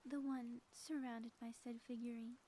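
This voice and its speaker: A woman voicing a theatrical, gloating female supervillain in an audio roleplay, teasing and grandiose with dramatic pauses. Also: fairly high pitch, level tone, mellow, a little hiss or hum, close to an everyday voice, low-quality recording. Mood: smug, theatrical. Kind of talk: scripted audio roleplay, ASMR.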